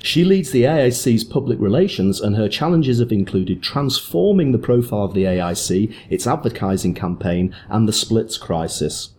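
A man's voice: fairly low pitch, lively intonation, moderate pace, few pauses, a little mellow, slight background noise. A man hosting a podcast, reading an upbeat promotional intro and then chatting with his guest in her office. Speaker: podcast host. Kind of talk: scripted intro then interview chat.

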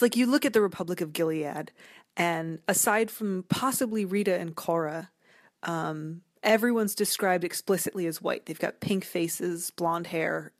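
A woman giving thoughtful, discursive commentary as an interview guest, often halting as she works out her ideas. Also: on the low side, darkish tone, dry recording, studio quality. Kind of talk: conversational literary and cultural commentary.

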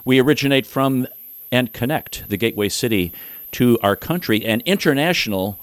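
A man's voice: medium pitch, expressive, normal speed, clear, resonant tone. A man hosting a radio talk show, upbeat, reading scripted intros and asking guests questions. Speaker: radio host.

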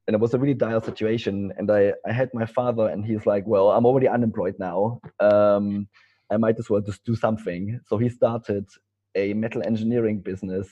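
Low, mellow German-accented man. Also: lo-fi sound. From East Germany. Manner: rambling, hesitant, many fillers and restarts. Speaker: guest. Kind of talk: casual interview talk.

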